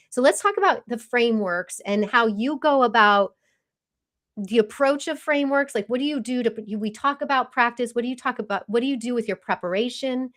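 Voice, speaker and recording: A woman's voice: a little high, fairly bright tone. A woman hosting a live-stream interview in a conversational way, recapping and working her way toward questions. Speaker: podcast host and interviewer.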